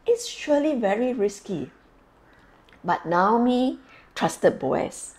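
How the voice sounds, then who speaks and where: Female pastor, middle-aged, higher-pitched voice, lively swooping delivery, deliberate emphatic preaching. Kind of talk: sermon. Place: small quiet office, indoors. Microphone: lapel mic.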